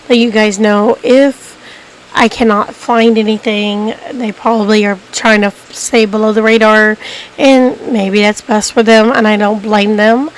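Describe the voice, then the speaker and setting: A woman with a hoarse, cracking voice, hosting a documentary-style video and talking casually to viewers, rambling with many ums and restarts. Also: on the high side, a little hiss or hum, rough recording. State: hoarse, losing her voice. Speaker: YouTube documentary host. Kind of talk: casual unscripted narration to viewers.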